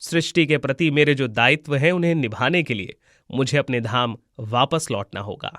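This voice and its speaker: A man voicing a gentle, wise young god disguised as a schoolboy, calm and reassuring, in a moral-story cartoon. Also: mid-range voice, normal speed, dry recording, studio quality, voice pushed down, natural voice. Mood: gentle, solemn.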